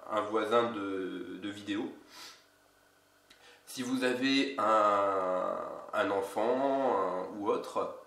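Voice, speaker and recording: A man with a cold, an energy healer giving a casual instructional video in a slow, halting way. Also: mid-range voice, fairly bright tone, distant mic. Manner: slow, halting, with many pauses. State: has a cold. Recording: roomy echo, away from mic.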